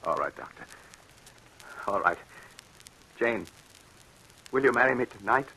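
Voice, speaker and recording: An actor playing a frightened, obsessed young bridegroom with a stammering, excitable delivery in old radio drama style. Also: male voice, mid-range voice, a little slow. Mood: nervous. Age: young adult. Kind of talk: 1940s radio acting. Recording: noisy old radio recording.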